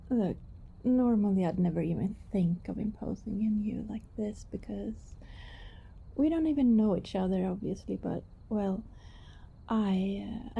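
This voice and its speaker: Actress as timid female vampire neighbor, very breathy and husky, gravelly fry, apologetic, ASMR roleplay, slightly muffled close mic. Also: medium pitch, full character voice. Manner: hesitant, soft-spoken, apologetic, breathy. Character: shy, secretive young female vampire neighbor, politely pleading.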